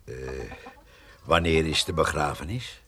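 Slow, deep-voiced old farmhand. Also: male voice, pushed and bright, heavily acted. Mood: dry, easygoing. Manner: hesitant, repeats words, refers to himself by name. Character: eccentric old farmhand, sly, slow and rambling. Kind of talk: Dutch radio play acting. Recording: noisy radio play recording.

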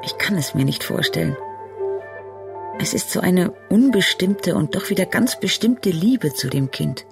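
An actress playing a composed, reflective widowed woman in a radio drama, speaking in a measured, introspective way. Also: lowish voice, animated, gravelly. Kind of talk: literary radio drama dialogue. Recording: loud music behind the voice.

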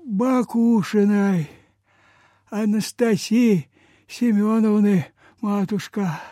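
An actor playing a frail, humble old peasant beggar in a Soviet radio play, halting, deferential and folksy. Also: male voice, sits high, warm tone, dry recording, clean studio sound. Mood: weak, pleading. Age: older.

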